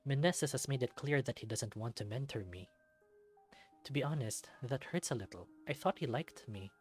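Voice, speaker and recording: A man's voice: medium pitch, normal speed, breathy edge. A man reading a visual novel aloud as a let's-play, narrating expressively. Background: game music.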